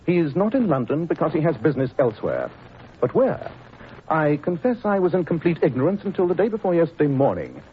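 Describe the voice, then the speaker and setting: An actor playing a brisk, commanding Victorian detective in a 1940s radio drama, crisp and dryly cutting. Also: male voice, a little high. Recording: tinny old radio broadcast, faint hiss.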